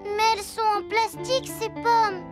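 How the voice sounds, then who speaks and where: A woman voicing an excitable, bossy little girl piglet in a cartoon, childlike and cheeky. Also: very high voice, normal speed, music in the background. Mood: curious, cheeky. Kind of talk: cartoon dub acting.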